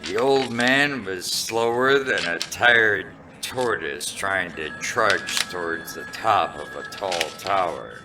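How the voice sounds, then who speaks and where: An actor playing a hard-drinking, wisecracking, irritable private eye in a noir radio play, deadpan and world-weary. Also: male voice, slow pace, few pauses, noisy background, full character voice. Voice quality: deep. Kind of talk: noir detective radio drama narration. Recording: very echoey, distant, music behind.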